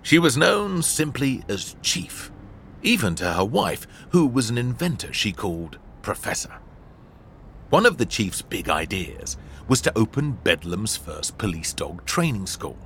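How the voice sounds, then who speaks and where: A man narrating a children's adventure audiobook in an expressive, theatrical way into a studio microphone. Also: on the low side, measured pace, slight background noise. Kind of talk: scripted storybook narration.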